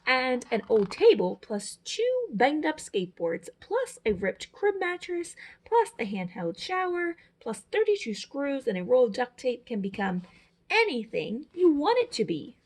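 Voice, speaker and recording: A woman's voice: sits high, sing-song, a little slow. A woman reading a children's picture book aloud as a storyteller, with dramatic, emphatic phrasing. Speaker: storyteller, teacher.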